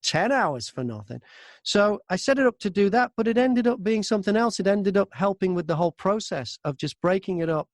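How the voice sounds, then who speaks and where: A man's voice: sits high, lively intonation, a little fast, no room sound, clean studio sound. A man chatting casually as an interview guest, an audiobook narrator who tells stories by acting out both sides of exchanges. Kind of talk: casual anecdotal interview chat.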